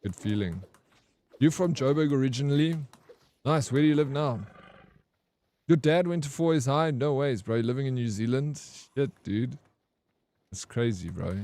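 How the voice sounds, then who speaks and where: A young man with a Johannesburg South African accent, live-streaming a game and chatting excitedly with his chat in slangy, casual talk. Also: mid-range voice, normal speed, pauses often.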